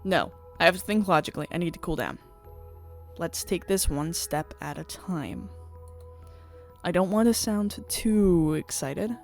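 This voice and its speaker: A woman's voice: mid-range voice, lively intonation, moderate pace, close to an everyday voice. A woman voicing a prickly female idol superfan in a visual novel, blunt, indignant and sceptical. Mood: flustered, excited. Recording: music playing behind the voice.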